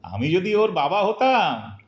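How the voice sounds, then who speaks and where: A man's voice: high voice, very animated, slow, full character voice. Guy acting fatherly old man in a radio play, hesitant n pleading, voice goes up and down a lot, echoey. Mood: coaxing. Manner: plaintive, coaxing. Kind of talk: Bengali audio drama acting. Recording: roomy, echoing voice recording.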